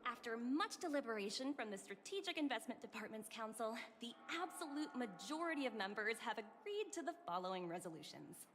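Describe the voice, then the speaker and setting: A woman's voice: a little high, expressive, a little fast, hardly any pauses, big-room sound, background noise, lightly acted. An actress playing a poised, confident young businesswoman in a sci-fi game, with polished, official delivery. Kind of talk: game voice acting.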